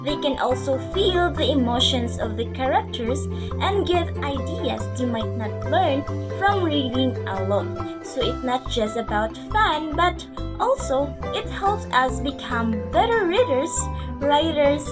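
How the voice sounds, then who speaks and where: A woman giving a lesson presentation as a teacher, partly reading and explaining simply to a class. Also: sits high. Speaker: student presenter acting as teacher. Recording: loud music behind the voice. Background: music.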